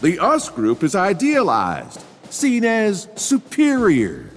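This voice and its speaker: A man voicing a commanding male drill sergeant who lectures recruits point by point and shouts his orders. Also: sits high, wildly swooping pitch, slow, in a large hall, soft background music, exaggerated voice. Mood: barking.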